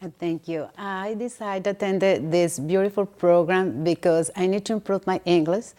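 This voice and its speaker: Brief: Colombian woman, Spanish-native, earnest learner's English, slightly low voice. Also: fairly even intonation, mellow. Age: adult with school-age children. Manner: earnest, simple halting English. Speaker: adult English learner, guest. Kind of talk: interview answers.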